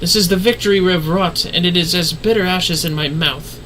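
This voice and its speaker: A man's voice: high-pitched, brisk, sharp tone, slight background noise, rough recording. A man voicing a refined, earnest woman leader in a fantasy game, speaking in formal, solemn, archaic phrasing. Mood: solemn, stirring.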